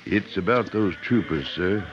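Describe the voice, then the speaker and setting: A man's voice: deep voice, a little slow, crowd noise. An actor playing a heroic lawman disguised as a rancher in an old radio western, polite and earnest. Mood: earnest, urgent. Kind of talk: old radio drama acting. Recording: dull old radio recording, background voices.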